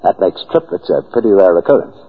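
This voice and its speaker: A male announcer from 1940s radio, reading scripted copy. His voice is low and slightly rough, with animated intonation, on a dull old broadcast recording. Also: fast.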